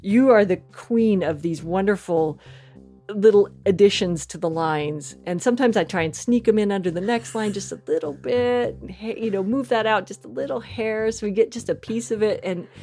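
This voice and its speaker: A woman's voice: mid-range voice, moderate pace, warm tone, soft background music. A woman chatting casually and emphatically as an interview guest about her creative work. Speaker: interview guest, audio fiction writer and producer.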